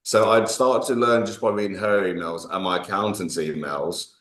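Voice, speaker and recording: Deep-voiced young Englishman, echoey room. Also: steady tone, moderate pace, fairly bright tone. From Greece. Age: 20s. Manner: chatty, self-deprecating, laughs often. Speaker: guest, gym owner. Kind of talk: casual podcast conversation.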